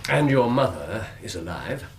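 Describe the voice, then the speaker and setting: A British actor playing a shrewd, courteous, self-assured Victorian detective in a radio drama, with precise, theatrical, formal phrasing. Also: male voice, on the low side, sing-song, a little slow, slight background noise. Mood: probing, polite.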